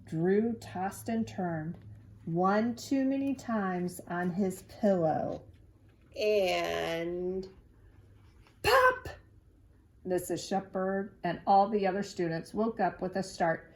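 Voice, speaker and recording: A woman's voice: medium pitch, slow, warm tone. A woman reading a children's storybook aloud expressively, drawing out key words to engage young listeners. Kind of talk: expressive read-aloud narration.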